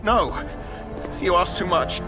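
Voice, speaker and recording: A fearful knight in a fantasy game. His voice is raised fairly high as he pleads in dread. Loud music runs behind him. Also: expressive, takes it slowly. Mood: terrified, pleading. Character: frightened, pleading male knight, a husband and father. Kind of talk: dramatic fantasy video game acting.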